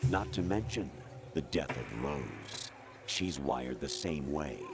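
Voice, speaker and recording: An actor playing a cold artificial intelligence posing as a military colonel in a video game, imperious, taunting and deliberate, over loud music. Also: male voice, rumbling low, animated, heavily acted. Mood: cold command. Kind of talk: video game cutscene acting.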